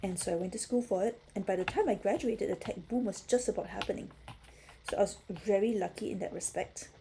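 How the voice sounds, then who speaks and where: A woman's voice: mid-range voice, a little fast, creaky, noisy background. A middle-aged woman from Singapore hosting a puzzle livestream, chatting casually and answering comments from viewers.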